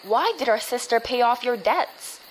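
A woman plays a young female warrior in an audio drama, fiercely protective of her family. Her voice sits fairly high, with clipped, threatening commands. There is a faint layer of noise behind her. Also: lively intonation, moderate pace, close to an everyday voice. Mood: threatening, accusing.

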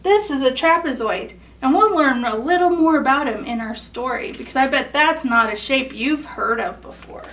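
A woman's voice: high voice, lively intonation, moderate pace, hardly any pauses, a bit bright, distant mic, slight background noise. A young woman reading a children's picture book aloud, explaining as she goes and asking questions she then answers. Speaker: storytime reader. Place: indoors in front of a photo backdrop.

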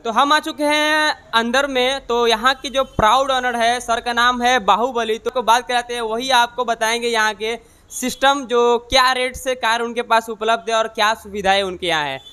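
A man's voice: extremely high pitch, moderate pace, clean tone, no room sound. A young man hosting a vlog from a used car showroom, touring it and interviewing staff with a clip-on mic. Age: young adult. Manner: energetic presenter, repeats answers back. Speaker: vlogger. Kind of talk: casual vlog walkthrough. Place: car showroom and lot, indoors and outdoors. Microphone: lapel mic.

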